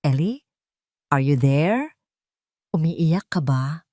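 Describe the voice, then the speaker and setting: A concerned male lawyer in an audio drama, voiced by a woman. He is a loyal, protective friend who speaks with urgency, in a fairly low register and a dry recording. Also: lively intonation, slow pace, warm tone, no echo. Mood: worried, urgent.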